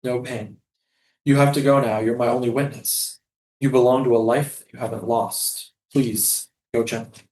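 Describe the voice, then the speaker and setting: A man's voice: mid-range voice, fairly even intonation, moderate pace, darkish tone, reverberant, lightly acted, poor audio. A man in a staged play reading, acting a doomed, solemn prisoner who is a loving, poetic father. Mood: gentle, resolute.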